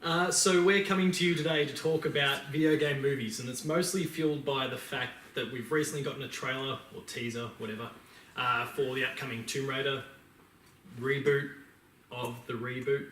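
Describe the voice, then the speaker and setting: A man's voice: medium pitch, steady tone, moderate pace, a bit bright. Echoey, distant Australian man hosting a podcast. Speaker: podcast host. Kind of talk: casual chat about films and games. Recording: roomy echo, distant mic, faint background noise.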